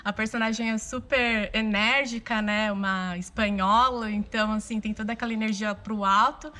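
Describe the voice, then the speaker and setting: A Brazilian actress in a euphoric, excited state, talking casually in an interview. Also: female voice, mid-range voice, normal speed.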